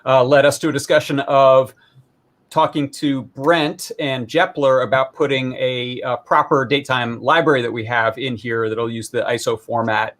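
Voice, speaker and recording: A man's voice: medium pitch, fairly even intonation, moderate pace, fairly bright tone. A man giving a casual, informal live demo, explaining technical details in a rambling, self-deprecating way. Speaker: maker presenting a hobby electronics project.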